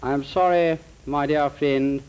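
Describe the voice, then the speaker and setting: A man voicing a solemn, courteous alien intelligence from Venus, speaking in a slow, stately, formal way on a 1950s broadcast. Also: a little high, fairly even intonation, a little mellow, clear, resonant tone, pitched above the performer's own voice, close to an everyday voice, rough recording.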